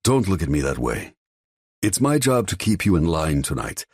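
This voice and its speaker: A man's voice: sits low, very animated, moderate pace, husky, dry recording, studio quality, voice pushed up, exaggerated voice. A man voicing a stern, controlling father who gives firm warnings. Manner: commanding, warning.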